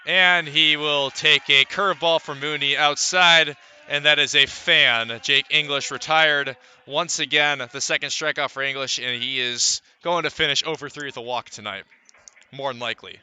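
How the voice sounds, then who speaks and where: A male radio play-by-play announcer calls a baseball game. His voice is very bright and forceful, clean and resonant, sitting a little high for a man. He delivers each call in deliberate, measured phrases with pauses between them. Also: steady tone, clean tone. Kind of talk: live radio sports commentary.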